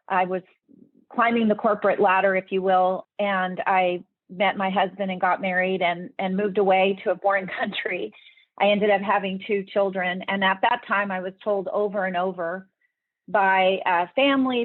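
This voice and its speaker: A woman's voice: medium pitch, no room sound. Woman on a tinny remote line. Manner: earnest, explanatory, with many restarts. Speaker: podcast guest, leadership speaker. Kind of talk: interview answers.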